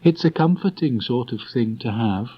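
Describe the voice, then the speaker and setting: Kindly young storybook boy played by a man, voice raised in pitch, gentle and earnest, on muffled cassette. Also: lively intonation, normal speed, breathy edge. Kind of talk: storybook character reading. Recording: dull restored cassette tape.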